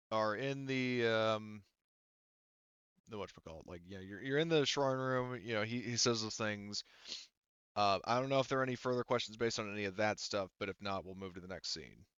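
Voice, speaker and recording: Game master, male, clean lowish voice, loose stop-and-start storytelling with casual swearing, slightly muffled close recording. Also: a bit bright, clear, resonant tone, dry recording. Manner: informal, halting, profane. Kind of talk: narrating a tabletop roleplaying game.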